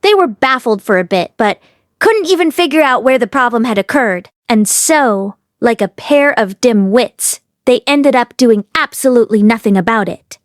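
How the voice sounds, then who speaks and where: A woman's voice: a little high, lively intonation, moderate pace, no room sound, studio quality. A woman narrating a novel aloud as the host of a read-along. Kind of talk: expressive story narration.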